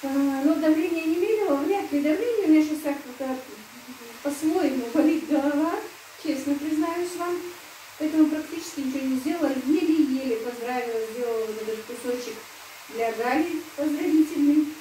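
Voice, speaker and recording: A middle-aged woman talks casually and at length to her viewers from home, in a homely, rambling manner that keeps turning to them as friends. Her voice sits a little high. The sound is roomy and picked up from some distance, with a faint hum of background noise. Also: brisk, dark tone. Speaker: home vlogger. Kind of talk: casual chatty monologue to viewers. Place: rural home.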